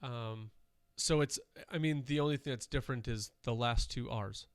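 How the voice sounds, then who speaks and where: A man's voice: mid-range voice, normal speed, smooth, dry recording, studio quality. A man co-hosting a podcast, chatting casually and rambling with fillers, a little nasal from dust. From Wisconsin. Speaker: podcast co-host. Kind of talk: casual chat.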